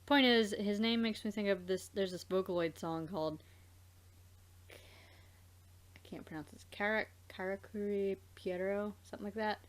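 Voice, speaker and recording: Woman, let's play host, mid-pitched, casual chat, rambling, halting, doubles back mid-sentence.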